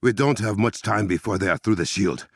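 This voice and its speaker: An actor playing a regal, commanding warrior king in a video game, with an African accent, measured formal diction and a gravelly, husky voice. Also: fairly low pitch, dry recording, clean studio sound. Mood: commanding, resolute. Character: regal, commanding warrior king, stern and proud, adult man.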